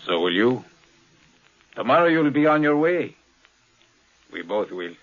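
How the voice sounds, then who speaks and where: A man's voice: medium pitch, sing-song. An actor playing a bitter, guarded pilot in his thirties, speaking curtly in a 1950s radio drama. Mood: bitter, brooding. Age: 30s. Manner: curt, brooding, deliberate. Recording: dull, lo-fi 1950s radio recording.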